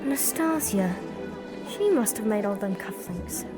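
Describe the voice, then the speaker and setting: A woman's voice: medium pitch, expressive, unhurried, music in the background, close to an everyday voice. A woman acting a plucky, curious young girl in a workhouse for an audio drama. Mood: curious, determined.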